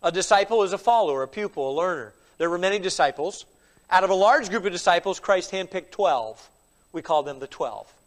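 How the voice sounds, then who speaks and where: Middle-aged pastor, high voice, stuffy with a cold, emphatic sermon delivery. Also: male voice, lively intonation, brisk. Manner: emphatic preaching with frequent "all right?" asides.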